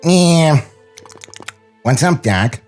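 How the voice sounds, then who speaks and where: A man's voice: a little high, lively intonation, drawn-out words, faint music behind, voice pushed up. A man voicing a cocky, wisecracking cartoon rabbit with a nonchalant wise-guy delivery.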